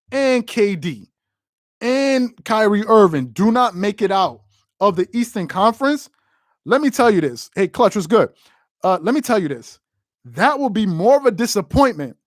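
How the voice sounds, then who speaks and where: Animated, opinionated man on a sports show. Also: high voice, dry recording, clean studio sound. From United States. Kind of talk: casual live-stream sports talk reading viewer comments.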